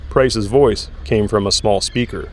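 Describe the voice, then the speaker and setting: A man's voice: on the low side, unhurried, slight background noise. A man reading scripted third-person narration for an audio drama.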